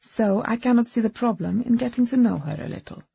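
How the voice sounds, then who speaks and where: A woman voicing a proud, softly steely young wife and hostess in an audiobook, with a soft Eastern European accent. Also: on the high side, a little fast, gravelly, dull audio, a little hiss or hum, pitched above the performer's own voice. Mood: firm.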